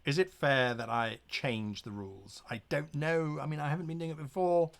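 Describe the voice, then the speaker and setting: A man narrating a gamebook as game master on a live stream, with lively asides and pirate growls. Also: a little high, normal speed, creaky.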